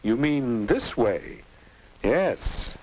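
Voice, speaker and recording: A man playing a patient American narrator in a 1950 educational film, guiding a teenager in a measured, reassuring, instructive way. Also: mid-range voice, sing-song, heavily acted. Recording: noisy 1950 film soundtrack.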